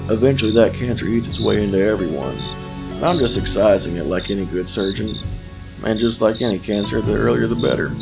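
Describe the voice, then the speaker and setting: An actor playing an older small-town policeman in an audio drama, folksy, deliberate and patronizing, with quiet menace. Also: fairly low pitch, creaky. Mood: coldly menacing. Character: menacing old small-town cop, folksy, coldly patient killer. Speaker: police officer character.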